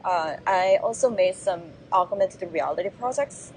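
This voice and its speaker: A woman's voice: fairly low pitch, measured pace, darkish tone, a little hiss or hum, rough recording. A woman from South Korea in her thirties with a Korean accent, a graduating design student answering interview questions in a thoughtful, hesitant way with many fillers.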